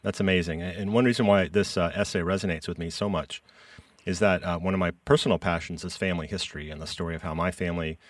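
A man's voice: low-pitched, steady tone, fast, dry recording, distant mic. A man hosting a podcast, who reads a scripted introduction and then asks personal questions. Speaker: podcast host. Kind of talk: interviewing a guest.

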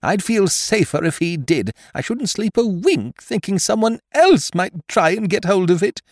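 A man plays a rich, anxious wife in a storybook mystery. The voice is lifted high and swoops about, emphatic, distressed and indignant. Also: lively intonation, normal speed, no echo, studio quality. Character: agitated, anxious, indignant wealthy married woman.

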